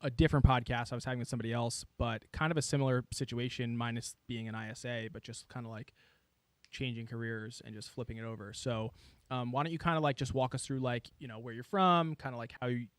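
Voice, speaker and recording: A man's voice: mid-range voice, steady tone, fast talker, no echo. Rambling podcast host, man. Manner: loose and rambling, many fillers. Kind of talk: casual interview.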